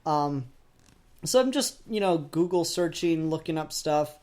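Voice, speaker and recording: A man's voice: fairly high pitch, lively intonation, unhurried, a little mellow. A man taking part in a casual discussion as a panelist, talking in a tentative, rambling way. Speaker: podcast panelist.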